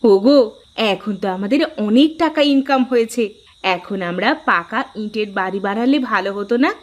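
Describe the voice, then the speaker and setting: A woman's voice: medium pitch, moderate pace, lo-fi sound, lightly acted. A woman voicing a village wife and home cook in a moral-story cartoon. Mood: hopeful. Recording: music playing under the voice.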